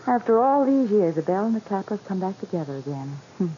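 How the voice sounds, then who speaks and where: An actress playing a headstrong, breezy doctor's wife in a 1940s radio mystery, teasing and sure of herself. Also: female voice, mid-range voice, fast talker, husky. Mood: eager, confident. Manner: animated, teasing, self-assured. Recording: dull, noisy old 1940s radio recording.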